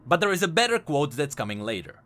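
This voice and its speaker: Very animated, high-voiced man explaining. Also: quick pace. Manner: emphatic, explanatory. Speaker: host of a video game lore channel. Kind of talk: enthusiastic scripted commentary. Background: music.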